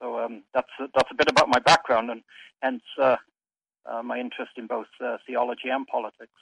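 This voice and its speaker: A man's voice: mid-range voice, level tone, a little fast, gravelly, husky, no echo, muffled recording. Man, pastor guest, hesitant, trails off, dry sound. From Wales. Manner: hesitant, frequent uhs, trailing off softly. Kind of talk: radio interview.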